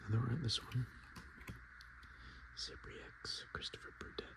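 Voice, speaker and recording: A man softly speaking and whispering in a casual, murmuring ASMR style, as host showing items to the viewer. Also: medium pitch, measured pace. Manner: hushed, casual murmured asides. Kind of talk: ASMR, softspoken and whispered card show-and-tell.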